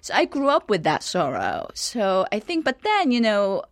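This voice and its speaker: A woman's voice: mid-range voice, huge pitch swings, normal speed, dry recording. A reflective woman from South Korea speaks earnestly, often restarting her sentences as she gives interview answers. Her medium voice is picked up dry and close on a studio microphone, with no room sound. She sounds middle-aged. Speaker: author and journalist guest.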